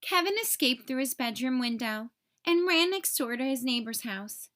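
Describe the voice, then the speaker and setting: High-voiced woman reading a children's story. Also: lively intonation, normal speed, a bit bright. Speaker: storybook reader. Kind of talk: scripted children's story narration.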